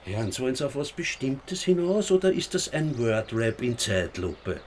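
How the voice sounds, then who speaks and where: A man's voice: mid-range voice, big pitch swings, normal speed, a little mellow, slight background noise, natural voice. Man acting a sardonic, pedantic Austrian police inspector. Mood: explanatory. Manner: dry, sarcastic, wordy. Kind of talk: radio drama acting.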